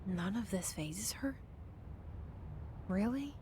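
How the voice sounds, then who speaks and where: An actress voicing a guarded young woman's inner thoughts in a video game, hushed, intimate and wary. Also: animated, slow pace. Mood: wary, intense. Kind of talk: video game internal monologue. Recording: rumbling background noise.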